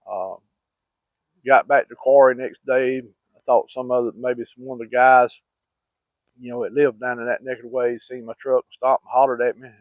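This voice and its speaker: A man's voice: medium pitch, fairly even intonation, normal speed, no echo. A middle-aged man telling a rambling, folksy story with many fillers and restarts. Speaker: channel host telling hunting stories. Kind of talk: casual storytelling. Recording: dry, phone-like sound.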